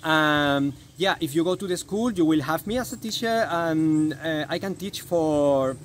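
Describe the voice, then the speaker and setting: Higher-pitched Spanish-accented man, casual interviewee. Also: animated, a little slow, a little hiss or hum. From Spain. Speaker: Spanish teacher, interview guest.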